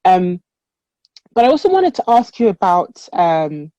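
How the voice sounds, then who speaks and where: A woman with a dark, mellow, slightly low voice asks long, careful academic questions, pausing on ums as she builds them. The sound is dry and dull, with little room and a lo-fi edge. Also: sing-song, no room sound. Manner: measured, hesitant with um and restarts. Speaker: conference interviewer.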